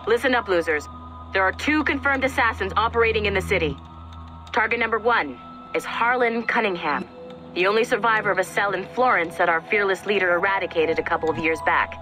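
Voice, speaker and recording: Woman as a snide game mission handler, medium pitch, commanding, brash, music behind. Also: a little fast, pushed and bright, close to an everyday voice. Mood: brisk, sarcastic. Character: brash, sarcastic female strike-team handler barking orders. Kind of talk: scripted game dialogue, mission briefing.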